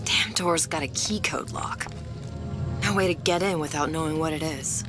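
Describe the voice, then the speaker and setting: An actress plays a determined young femme fatale in a noir game. The woman's voice is fairly low, controlled and guarded, over clearly audible music. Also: moderate pace, close to an everyday voice. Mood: businesslike, urgent. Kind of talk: video game voice acting, noir dialogue. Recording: music behind the dialogue.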